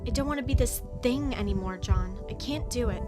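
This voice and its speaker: A woman's voice: on the high side, brisk, loud music behind the voice, close to an everyday voice. An actress playing an anguished, remorseful woman turned killer in a motion comic, with a confessional, pleading delivery. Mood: pleading, despairing. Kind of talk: dramatic motion comic acting.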